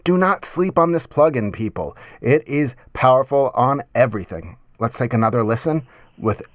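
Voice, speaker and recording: A man in his thirties giving a casual, enthusiastic music software tutorial in a conversational way, close on a mic in a home studio. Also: mid-range voice, expressive, normal speed, no echo. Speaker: music production tutorial host. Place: small home studio, indoors.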